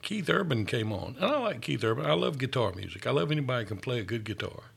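A man's voice: medium pitch, expressive, quick pace, creaky, a bit bright, husky. A man who works as a paramedic, telling stories in an earnest, easygoing way during a casual chat. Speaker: paramedic, podcast host.

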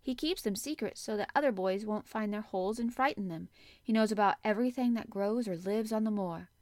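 A woman's voice: medium pitch, fairly quick, darkish tone, dry recording, lightly acted. Storybook role: outspoken little girl, read by a woman, obstinate yet enthusiastic, frank delivery, dry studio-like closeness. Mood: earnest, enthusiastic. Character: earnest, stubborn, outspoken young girl. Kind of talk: audiobook character acting.

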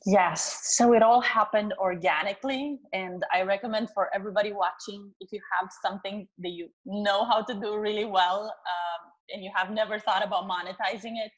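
A woman from Romania with a Romanian accent, a career coach chatting as an interview guest in a quick, upbeat way. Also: medium pitch, highs cut off. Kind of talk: interview guest, casual chat.

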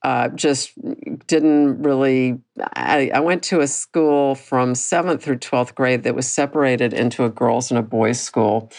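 A woman in her sixties hosting a personal podcast, talking candidly and reflectively with a low voice that does not carry, often restarting her phrases. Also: a little mellow. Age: 60s. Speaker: podcast host.

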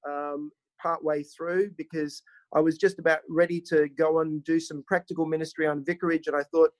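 A man's voice: on the high side, flat delivery, moderate pace, dry recording, poor audio. A man from rural South Australia with an Australian accent, a pastor telling his story as a podcast guest in a hesitant, self-deprecating, conversational way.

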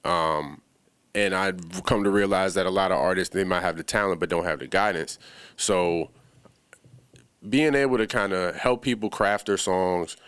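A young man from the Bahamas talks as a guest on a podcast, relaxed and full of slang. His voice sits very low and comes across smooth and resonant. The room sounds dry and close. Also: moderate pace, dry recording. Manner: laid-back, slangy, many fillers. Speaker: music producer guest. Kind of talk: casual podcast interview.

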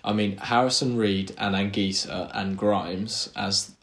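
A man with a somewhat low voice co-hosting a casual podcast. He talks in an agreeable, halting way and keeps restarting his sentences as he thinks aloud. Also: steady tone, a bit bright. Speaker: podcast co-host. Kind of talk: casual football chat.

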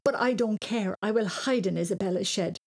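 A woman's voice: mid-range voice, fairly quick, lightly acted. A woman voicing an earnest, anxious young boy who gets easily upset, in a children's audiobook. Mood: angry, hurt. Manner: exclaiming, plaintive, hesitant.

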